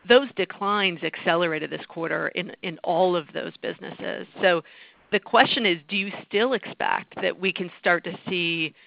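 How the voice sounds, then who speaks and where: A woman analyst asking a measured, precise question on an earnings call. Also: lowish voice, vocal fry, dry recording, highs cut off.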